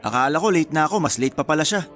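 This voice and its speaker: A man's voice: on the high side, lively intonation, quick pace, fairly bright tone. An actor playing a casual, laid-back groom-to-be in his thirties in a radio drama, with a Filipino accent. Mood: casual, easygoing. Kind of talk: Tagalog radio drama dialogue.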